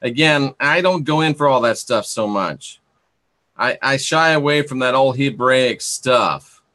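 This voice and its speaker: A man with a bright, forceful voice at a middling pitch, hosting an informal live talk. He thinks out loud in a conversational, opinionated way. He tends to ramble and circles back to repeat his points for emphasis. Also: unhurried. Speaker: host of an esoteric talk livestream. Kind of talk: informal live commentary, reading aloud and musing to viewers.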